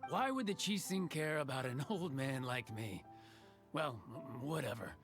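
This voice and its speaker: A man voicing a sociable, weary elderly carpenter in a video game, with a creaky aged voice and a folksy, hesitant way of talking. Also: mid-range voice, animated, unhurried, a bit bright. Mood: genial. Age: older. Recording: studio voice over game music.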